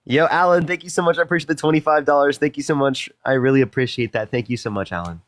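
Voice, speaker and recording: A man's voice: on the high side, fast, no room sound, clean studio sound. A man hosting a live stream, talking casually and thanking viewers over and over between debates with callers. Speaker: livestream host.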